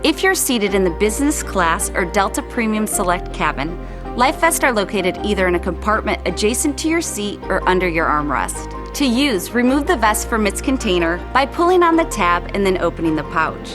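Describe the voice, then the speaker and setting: A woman's voice: medium pitch, sing-song, moderate pace. A woman narrating scripted airline safety instructions. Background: music.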